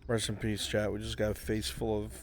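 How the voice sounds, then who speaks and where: Male roleplay streamer, low voice, blunt and sweary, casual banter, music behind him. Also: monotone, fairly quick. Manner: blunt, profane. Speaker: roleplay streamer and game player. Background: background music.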